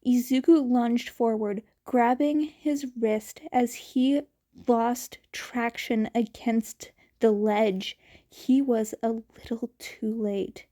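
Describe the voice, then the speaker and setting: A woman reading a fanfiction story aloud in an unhurried, even storytelling manner. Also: on the high side. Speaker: fanfiction reader.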